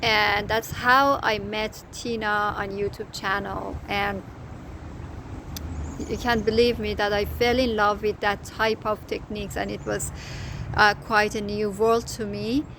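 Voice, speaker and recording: A woman's voice: medium pitch, little pitch movement, a little slow. A middle-aged woman with a Persian accent, whose first language is Farsi, telling her story as a crochet designer in a casual, hesitant way, with many fillers and restarts. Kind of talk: casual personal story to camera. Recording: noticeable background noise.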